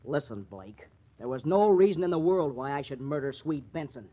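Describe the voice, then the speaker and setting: A man playing an honest, stubborn, hot-headed baseball pitcher in an old-time radio crime drama, with an American accent and slangy, defiant tough talk. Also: expressive, normal speed, dull audio. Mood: agitated, defensive.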